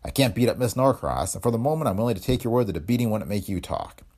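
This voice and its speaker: A man voicing a gruff, shrewd police chief in a hardboiled detective story, blunt and intimidating with grudging amusement. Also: on the low side, sing-song, quick pace, a little mellow, pitched above the performer's own voice. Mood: grudging, warning. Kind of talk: hardboiled detective audiobook dialogue.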